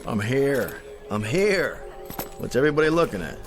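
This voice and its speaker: An actor playing a petulant, self-important American television cowboy star in a radio drama, offhand and whiny. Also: male voice, medium pitch, big pitch swings, moderate pace, noisy background, close to an everyday voice. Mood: bored arrogance. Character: vain, petulant male Hollywood cowboy star.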